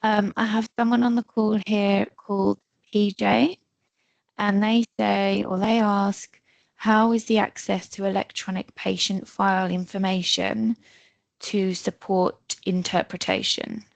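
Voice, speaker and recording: A woman's voice: medium pitch, little pitch movement, measured pace, creaky, no echo, poor audio. A woman hosting a live online question session, politely reading out questions and guiding participants with some hesitation. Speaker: moderator of online Q&A.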